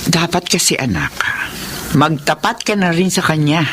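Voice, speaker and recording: Performer as caring older mother, animated delivery. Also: on the high side, moderate pace, natural voice. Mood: earnest, encouraging. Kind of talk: Filipino radio drama acting. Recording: noisy radio drama track.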